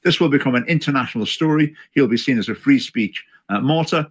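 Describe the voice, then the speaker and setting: A man speaking in a measured, hesitant way in a video commentary, with many fillers and restarts. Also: medium pitch, breathy edge. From Scotland. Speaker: commentator in a played clip.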